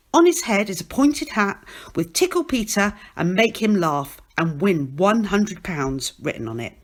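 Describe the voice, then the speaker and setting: A woman reading a children's novel aloud as scripted narration, in an expressive storytelling manner. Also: lowish voice. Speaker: storybook reader.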